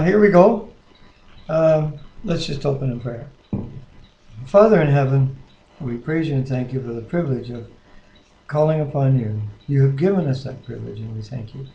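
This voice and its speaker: A man's voice: on the high side, a little mellow, highs cut off. A man in casual devotional talk and scripture reading, speaking in an earnest, plain-spoken way as a co-host, recorded on a webcam microphone. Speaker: co-host reading the Bible aloud. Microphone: webcam microphone at a distance. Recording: echoey room on a webcam microphone.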